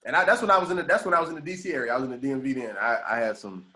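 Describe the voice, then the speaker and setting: A man in his thirties hosting a casual podcast interview, laughing often and chiming in with quick agreements. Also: medium pitch, pushed and bright, rough recording. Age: 30s. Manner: laughs often, repeats "right, right". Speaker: podcast host.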